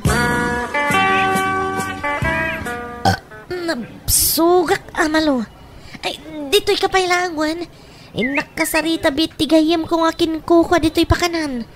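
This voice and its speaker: High-voiced wheedling tomboy lover acted by a woman. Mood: cocky, casual. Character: swaggering, sweet-talking tomboy lover who mooches money. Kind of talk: Ilocano comedy radio drama acting.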